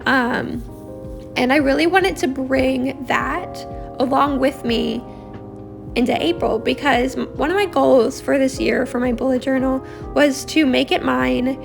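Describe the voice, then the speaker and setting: A young woman from Australia with a raspy, harsh throat, chatting casually over her drawing with lots of fillers and rambling asides. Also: sits high, unhurried, music in the background. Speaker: bullet journal vlogger.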